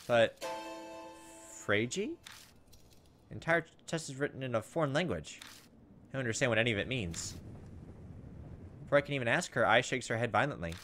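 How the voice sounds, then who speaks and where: A man's voice: medium pitch, expressive, moderate pace, pauses often, a bit bright, soft background music. A man giving casual gameplay commentary as a let's play host, thinking aloud in a hesitant, musing way.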